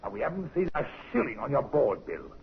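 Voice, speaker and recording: Gruff pub landlord, gravelly and bossy, jumpy higher voice, muffled old radio. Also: male voice, sing-song, brisk, a little hiss or hum. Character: gruff, blunt English innkeeper husband, middle-aged. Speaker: radio drama actor. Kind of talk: 1950s radio crime drama acting. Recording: muffled vintage radio recording.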